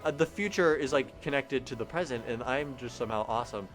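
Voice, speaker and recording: A young man reading a visual novel aloud on stream, animated and giggly, with joking asides. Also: medium pitch, fairly quick, soft background music. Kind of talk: casual game narration.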